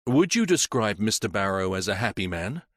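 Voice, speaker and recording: A man as a calm police inspector. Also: lowish voice, no echo, clean studio sound. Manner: measured, polite questioning.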